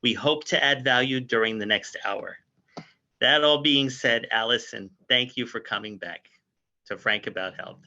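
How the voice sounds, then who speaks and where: Bright-voiced male radio host, lo-fi call. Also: mid-range voice, moderate pace, vocal fry. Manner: reads a formal disclaimer, chatty introductions. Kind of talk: hosting a health talk show over a video call. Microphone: video call.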